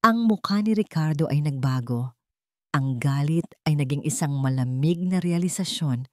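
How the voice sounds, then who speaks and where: A woman narrates a dramatic scripted story. Her voice sits a little low for a woman. The recording is very dry, with no audible room around her. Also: animated, normal speed, dark tone, dry recording.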